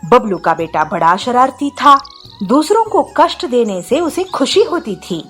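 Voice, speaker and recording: A woman reads a scripted moral tale for children as its narrator. Her voice is lively, with wide swings in pitch, and faint music plays underneath. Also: a little slow.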